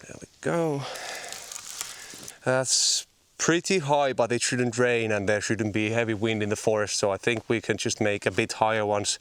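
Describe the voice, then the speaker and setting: A man chatting casually as he teaches a beginner bushcraft in a forest, friendly and relaxed as he works. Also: lowish voice, normal speed, clear, resonant tone. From Finland. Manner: relaxed, friendly, explains as he builds. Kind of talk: casual instructional chat while working. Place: outdoors in a forest. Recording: outdoor noise and clicks.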